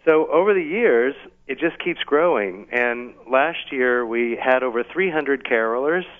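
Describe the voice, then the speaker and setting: A man being interviewed casually on the radio as an event organiser, explaining things in a halting way over a phone line. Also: medium pitch, animated. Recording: thin, band-limited phone line.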